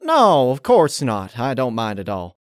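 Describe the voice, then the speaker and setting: A man's voice: medium pitch, huge pitch swings, a little slow, no room sound, studio quality, voice pushed up. A man voicing a nervous, evasive female farm pony in a story, with a folksy, stammering way of talking. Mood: nervous, forced cheer.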